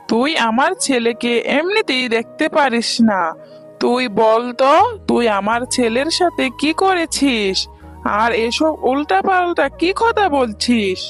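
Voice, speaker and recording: A woman's voice: high voice, expressive, a little slow, soft background music. A woman voicing an anxious, demanding tigress mother who scolds, in a children's cartoon. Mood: angry accusing.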